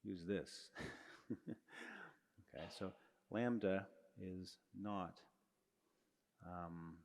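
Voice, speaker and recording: A man's voice: low voice, steady tone, very slow, a little mellow. Middle-aged man lecturing in a reverberant hall. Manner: casual, self-correcting explainer with chuckles. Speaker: physics lecturer. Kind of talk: live university lecture. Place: large lecture hall, indoors, with students. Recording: long reverb of a large hall.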